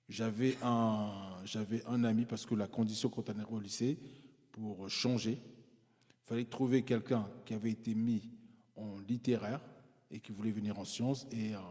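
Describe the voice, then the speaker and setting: A man's voice: on the low side, darkish tone, long reverb tail. A man guest recounting his schooling in conversation, hesitant, with many fillers and restarts. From France, parents from Congo-Brazzaville. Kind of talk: personal storytelling in conversation.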